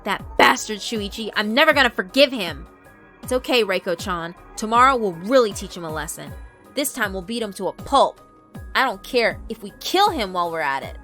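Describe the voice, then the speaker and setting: A woman voicing hot-headed, vengeful teenage schoolboy bullies in a horror visual novel, loud and aggressive. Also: medium pitch, animated, normal speed, faint music behind. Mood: furious, boastful. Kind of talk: visual novel character narration.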